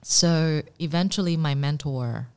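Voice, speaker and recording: A woman, telling her story in a casual interview, animated and full of fillers and restarts. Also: low voice, unhurried, clear, resonant tone. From Indonesia. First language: Indonesian. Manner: animated, many fillers and restarts, laughs readily. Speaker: pastry chef and business owner.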